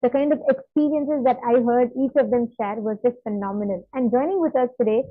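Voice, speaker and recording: A woman's voice: fairly high pitch, brisk, no room sound. A woman hosting a program and introducing guests in an emphatic, announcing manner. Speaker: host. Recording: tinny phone-like line.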